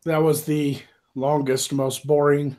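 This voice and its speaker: A man's voice: fairly high pitch, flat delivery, takes it slowly, crowd noise. A man talking bluntly on a livestream, swearing casually. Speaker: guest.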